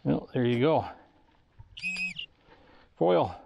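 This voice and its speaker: A man's voice: medium pitch, lively intonation, very slow, vocal fry, lo-fi sound. A man giving casual running commentary to himself in short remarks while metal detecting outdoors, recorded on a body-worn camera. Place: outdoors on grassy farm field. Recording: outdoor action camera with background noise.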